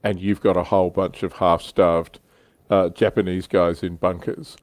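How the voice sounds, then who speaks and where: A man's voice: sits low, flat delivery, normal speed, darkish tone, dry recording. A man chatting casually as a panelist on a hobby livestream. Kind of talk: casual chat.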